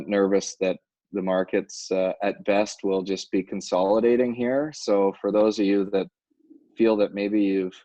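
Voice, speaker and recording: A man giving a market update on a conference call, halting and full of fillers. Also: deep voice, a little mellow, no room sound. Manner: halting, many fillers and restarts. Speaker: financial advisor. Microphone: phone or conference line. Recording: dull lo-fi conference call audio.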